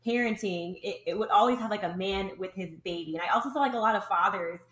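A woman's voice: mid-range voice, fast. A woman hosting a casual interview, chatty and enthusiastic, peppering her sentences with "like". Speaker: podcast host, interviewer. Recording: echoey room sound.

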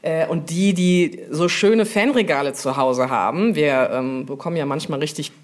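A woman's voice: low-pitched, normal speed, darkish tone. A woman, chatting informally as an interviewee and explaining her work, often checking for agreement with little tag questions. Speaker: brand manager being interviewed on a podcast. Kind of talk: casual interview talk, explanatory.

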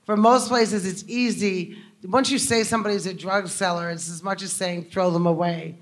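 A woman's voice: mid-range voice, steady tone, normal speed. A woman journalist moderating a conference panel, asking long, rambling questions with emphatic asides.

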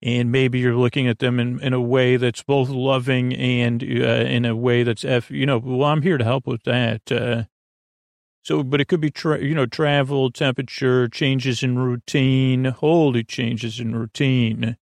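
A man with a heavily creaky, fry-laden voice speaks at a middling pitch in a very dry recording. He hosts a bedtime podcast in a soothing, rambling way, drifting into hesitant asides and interrupting himself. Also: flat delivery, measured pace, no echo. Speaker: podcast host.

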